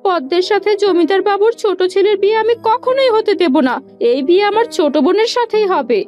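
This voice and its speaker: A woman's voice: very high-pitched, lively intonation, brisk, a little mellow, lo-fi sound. A woman playing a scheming, scornful young sister-in-law in a cartoon fairy tale, bossy and sly. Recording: background music under the voice.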